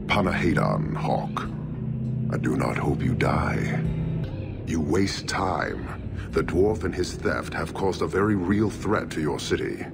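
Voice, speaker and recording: A man playing a stern, imposing giant warlord in a video game, speaking in measured, formal, contemptuous pronouncements. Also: low voice, loud music behind the voice. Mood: cold, grave. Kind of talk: video game cutscene dialogue.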